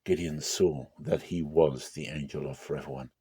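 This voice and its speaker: A man's voice: rumbling low, fairly even intonation, moderate pace, dark tone, husky, dry recording. A man narrating scripture as a dramatic reading, with solemn, theatrical storytelling.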